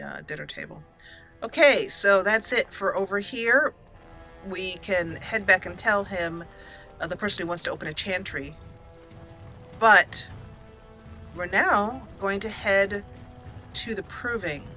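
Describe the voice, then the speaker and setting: Female let's play host, bright voice, casual storytelling commentary, faint music behind. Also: fairly low pitch, takes it slowly, pauses often.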